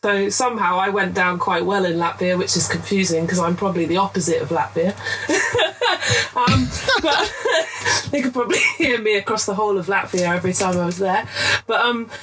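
A woman's voice: medium pitch, expressive, moderate pace, noisy background. A woman, a guest artist in a casual podcast chat, telling rambling stories and laughing often. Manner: chatty, rambling storyteller who laughs often.

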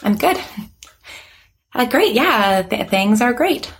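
A woman's voice: fairly high pitch, big pitch swings, slow, gravelly, exaggerated voice. An actress playing a skittish, awkward woman in her twenties who over-explains and corrects herself nervously in an audio drama. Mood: evasive, awkward. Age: 20s. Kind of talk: naturalistic audio drama acting.

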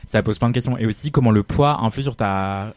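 A man's voice: lowish voice, fairly quick, dry recording. A man co-hosting a podcast, chatting casually and enthusiastically with many fillers and restarts. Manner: animated, lots of fillers and restarts. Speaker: podcast co-host, fashion journalist. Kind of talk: casual chat.

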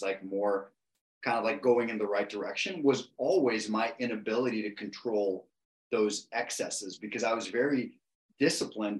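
A man's voice: fairly low pitch, rough recording. A man in his forties, a podcast guest, talking in a passionate, emphatic way and swearing for emphasis. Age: 40s. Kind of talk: casual interview talk.

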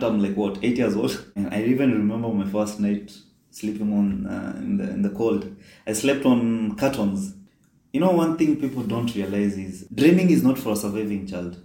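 Twenties man from Kenya, deep warm tone, hesitant storyteller, roomy echo. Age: 20s. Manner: halting, many fillers and restarts. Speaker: migrant telling his life story. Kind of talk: personal storytelling.

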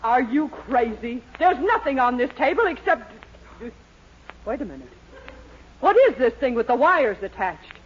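A man's voice: very high voice, very animated, a little slow, exaggerated voice. A performer, a man, playing a bossy, short-tempered older mother-in-law in a 1940s radio comedy, scolding and blustery. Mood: outraged. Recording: muffled vintage radio recording, light hiss.

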